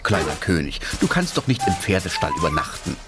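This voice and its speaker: Man, very deep voice, sing-song storybook narration, kids' cartoon, music underneath. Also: sing-song, fairly quick. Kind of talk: scripted storybook narration for children.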